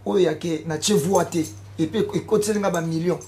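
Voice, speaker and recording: A man's voice: high-pitched, steady tone, normal speed, a little mellow. Hesitant Congolese musician, man. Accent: Congolese French. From Democratic Republic of the Congo. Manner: hesitant, with frequent fillers and repeated words. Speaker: musician interviewee. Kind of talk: casual interview answers mixing French and Lingala. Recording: light background noise.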